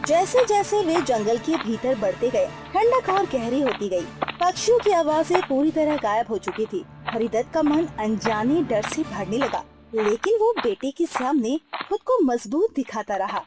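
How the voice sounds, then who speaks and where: A woman's voice: high voice, sing-song, unhurried. A woman narrating a scripted suspense story in a dramatic style. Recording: music behind the narration.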